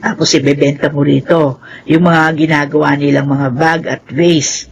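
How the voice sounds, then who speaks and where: A chatty Filipino man in a radio drama, a curious and supportive friend. His pitch runs a little high for a man. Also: flat delivery, moderate pace, vocal fry, highs cut off, a little hiss or hum. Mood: friendly, curious.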